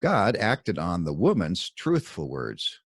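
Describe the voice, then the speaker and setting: Male narrator for scripture commentary: lowish pitch, very animated intonation, deliberate emphatic delivery, dry recording. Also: slightly rough, no echo. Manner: deliberate, emphatic, dramatic phrasing with pointed pauses. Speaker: author reading his own commentary.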